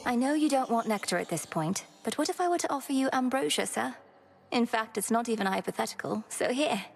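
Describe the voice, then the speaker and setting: A woman's voice: a little high, lively intonation, fairly quick, creaky, soft background music. A woman voicing an earnest young witch princess who speaks formally and politely to her elders.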